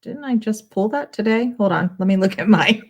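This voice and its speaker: A woman's voice: medium pitch, expressive, moderate pace, husky. A woman chatting casually as a guest tarot reader, hesitant and thinking aloud. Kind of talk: casual chat.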